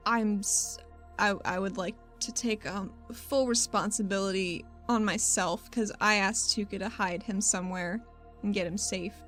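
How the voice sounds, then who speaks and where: A woman's voice: mid-range voice, fairly even intonation, normal speed, fairly bright tone, faint music behind, lightly acted. Woman playing an earnest, hesitant woman adventurer. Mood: apologetic. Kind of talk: in-character tabletop roleplay.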